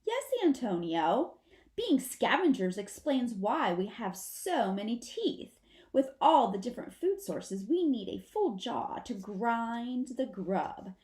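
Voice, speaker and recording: A woman's voice: fairly high pitch, big pitch swings, slow, darkish tone. A woman voicing a patient, protective mother possum who instructs her young in a children's storybook. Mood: pleased. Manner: instructive, emphatic. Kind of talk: children's storybook read-aloud. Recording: roomy, echoing sound.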